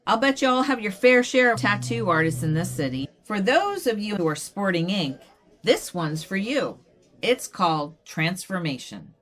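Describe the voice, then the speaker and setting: A woman voicing an earnest doll poet, reciting poems in a measured, deliberate way. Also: mid-range voice, lively intonation, music in the background, lightly acted. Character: earnest female doll poet giving a reading. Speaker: poet reading own work. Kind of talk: poetry recitation.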